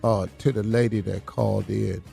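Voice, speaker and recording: A man with a gravelly voice doing mock-serious, teasing comic radio banter. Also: on the low side, fairly even intonation, moderate pace. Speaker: radio show regular. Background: music.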